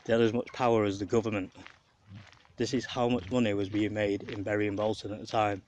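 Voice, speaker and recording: Low-voiced English man, noisy outdoor recording. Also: level tone, brisk, darkish tone, highs cut off. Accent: English, with a slight Mancunian lilt. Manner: conversational, digressive, musing. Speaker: vlogger and documentary narrator. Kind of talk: casual rambling walking commentary on local history. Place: outdoors along a rural canal path. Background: wind, birdsong, outdoor noise.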